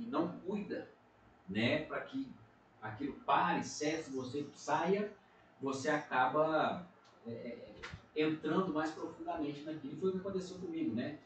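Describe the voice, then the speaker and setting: A middle-aged man, a pastor from Minas Gerais with a Brazilian accent, giving an earnest testimony in a casual podcast chat. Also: fairly high pitch. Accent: Brazilian Portuguese, Minas Gerais. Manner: earnest, frequent tag questions. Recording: echoey room, distant mic, faint noise.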